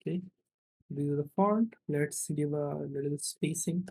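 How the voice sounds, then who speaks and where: A man's voice: on the high side, unhurried, gravelly, warm tone, a little hiss or hum, poor audio. Man talking through a coding tutorial. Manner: instructional, thinking aloud, often adds "right?" as a tag. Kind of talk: step-by-step screen-recorded programming tutorial, unscripted.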